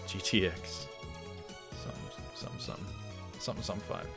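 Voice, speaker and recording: A man's voice: low voice, wildly swooping pitch, quick pace, vocal fry, loud music behind the voice. A man giving casual let's play commentary and reading aloud, rambling a bit and correcting himself in asides. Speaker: let's play host reading a visual novel.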